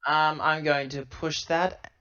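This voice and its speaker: A man playing a tabletop roleplaying game, improvising casually and thinking out loud in a rambling way, on a lo-fi laptop recording. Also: on the high side, little pitch movement, takes it slowly, background noise.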